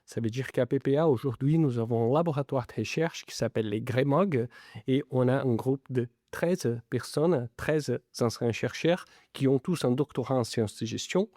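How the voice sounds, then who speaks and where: A man with an Italian-Brazilian accent, speaking French as a second language, answers questions as a business school lecturer. His voice is mellow and dark, recorded dry and close, and he halts often on fillers while searching for words. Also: mid-range voice, moderate pace, no room sound. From Italy and Brazil. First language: not French. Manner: hesitant, many fillers. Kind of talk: interview answers.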